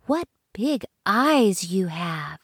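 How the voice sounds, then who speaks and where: A woman voicing a clever young girl who speaks sweetly, slowly and knowingly. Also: mid-range voice, sing-song, fairly bright tone, slightly rough, no echo, clean studio sound, heavily acted. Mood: coy.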